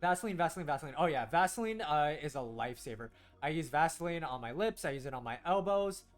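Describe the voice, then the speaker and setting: Young guy streaming, grew up in the us but korean was his first language, rambles and restarts a lot, voice a bit high, faint music under it. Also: expressive, fast. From United States. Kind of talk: casual gameplay stream chat, talking to chat.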